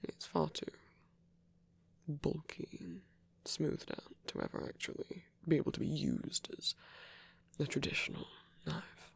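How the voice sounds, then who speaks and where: A man playing a gentle, caring old vampire priest in an intimate ASMR roleplay, speaking in a halting, bashful, breathy whisper. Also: on the high side, steady tone, dry recording, full character voice. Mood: awkward, reassuring. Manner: halting, bashful, softly reassuring.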